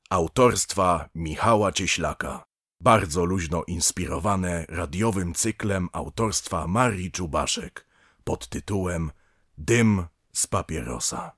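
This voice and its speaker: A man's voice: sits low, expressive, slow pace, a bit bright, dry recording, clean studio sound. A man announcing the title and credits of a radio play in a scripted style.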